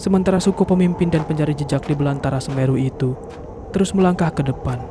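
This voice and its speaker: A man narrates a horror tale in a fairly high voice for a male. His delivery is solemn and dramatic, and there is clear background noise behind him. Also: quick pace. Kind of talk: scripted horror story narration. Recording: noisy background ambience.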